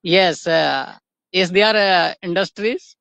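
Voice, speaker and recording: A young man from India with an eastern Indian accent, chatting casually in halting learner English and repeating words as he goes. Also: high-pitched, gravelly, a bit bright, no echo. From Jharkhand, India. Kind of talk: casual practice conversation.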